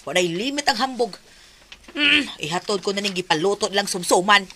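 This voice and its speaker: A woman's voice: mid-range voice, expressive, moderate pace, pushed and bright, a little hiss or hum. A woman with a Cebuano Filipino accent playing an exasperated bystander who grumbles at drinkers in a comedy skit. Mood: grumbling. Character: exasperated scolding bystander serving drinking snacks.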